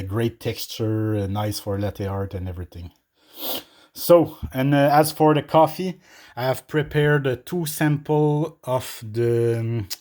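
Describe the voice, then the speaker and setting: A man who is not a native English speaker, reviewing espresso machines unscripted from a small apartment kitchen, with many pauses and filler sounds. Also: mid-range voice, darkish tone. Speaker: coffee equipment reviewer.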